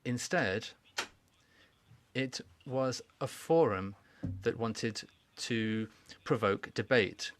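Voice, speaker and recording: A man giving a formal welcoming speech at a conference, measured and deliberate, with pauses and restarts. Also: medium pitch, a bit bright. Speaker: conference speaker. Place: conference hall.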